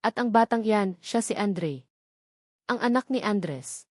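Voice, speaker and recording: A woman's voice: medium pitch, animated, takes it slowly, a little mellow, dry recording, studio quality. A woman voicing a cold, threatening old rich patriarch in an audio drama. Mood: menacing. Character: menacing old wealthy patriarch, threatening blackmailer.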